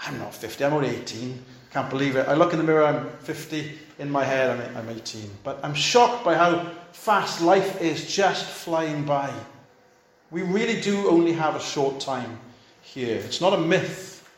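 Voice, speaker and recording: A man's voice: fairly high pitch, animated, normal speed, reverberant. A fifty-year-old male pastor preaching a sermon, urging his listeners on in short, repeated, earnest phrases.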